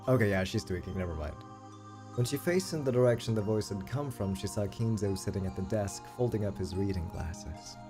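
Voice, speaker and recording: A man's voice: on the low side, fairly quick. A man streaming a game, reading a visual novel aloud and reacting casually. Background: music.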